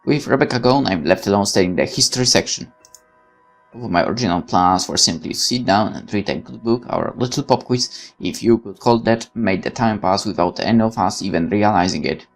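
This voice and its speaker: A woman's voice: very deep voice, a little fast. Outspoken woman narrating over game music. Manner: opinionated, exclamatory, swears freely. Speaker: let's player reading a visual novel aloud. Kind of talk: reading game text with candid side commentary.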